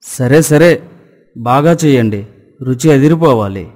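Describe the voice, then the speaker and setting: A gluttonous, fussy village landlord from a cartoon moral tale, acted by a man. His male voice sits at medium pitch and his manner is bossy and demanding. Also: expressive, slow, darkish tone, long reverb tail. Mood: demanding, eager. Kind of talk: cartoon story dialogue.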